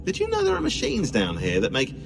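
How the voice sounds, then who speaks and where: An actor playing a dry, sardonic, erudite researcher in a video game cutscene. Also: male voice, sits high, huge pitch swings, quick pace, gravelly, over music, exaggerated voice. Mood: wry.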